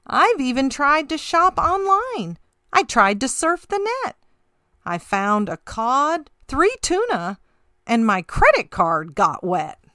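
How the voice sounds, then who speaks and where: A woman's voice: sits high, takes it slowly, dry recording, studio quality, heavily acted. A woman voicing a puzzled male octopus telling his tale in sing-song picture-book rhyme. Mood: forlorn, frustrated. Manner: expressive, sing-song rhyming delivery. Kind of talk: rhyming picture-book read-aloud.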